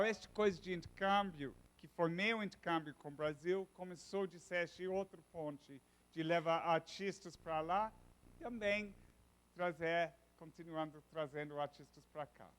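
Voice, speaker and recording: Englishman lecturing in halting Portuguese. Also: fairly high pitch. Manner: halting, searches for words, many restarts. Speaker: university lecturer. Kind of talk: informal classroom lecture.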